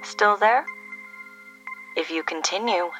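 An actress playing a cool, cryptic and commanding answering-machine voice for a secret agency in an audio drama. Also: female voice, medium pitch, slow pace, over music, natural voice, poor audio. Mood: ominous, controlled. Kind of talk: scripted phone message in audio drama.

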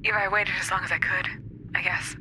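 A woman playing a hurt, pleading estranged wife, halting and emotional, leaving a voicemail in a naturalistic audio drama. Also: medium pitch, little pitch movement, natural voice. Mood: resigned, tearful apology. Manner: halting, emotional, plain-spoken. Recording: noisy recorded voicemail message.

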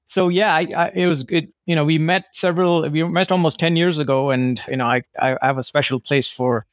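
A man's voice: on the high side, fairly even intonation, brisk, dry recording, muffled recording. A man from India in his thirties, answering interview questions in a hesitant way with many restarts. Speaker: guest.

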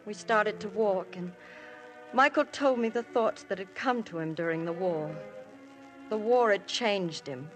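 A woman's voice: medium pitch, a little slow, soft background music, lightly acted, low-quality recording. A woman playing a married woman questioned by police in a 1950s radio drama, earnest and emotional, her voice recalling the past. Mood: wistful. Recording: old radio broadcast.

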